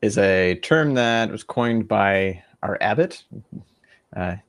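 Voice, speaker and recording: A man's voice: on the low side, expressive, slow, clean tone. A young man co-hosting a podcast, explaining an idea in a thoughtful, rambling way with many fillers and restarts. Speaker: podcast co-host. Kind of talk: casual podcast discussion.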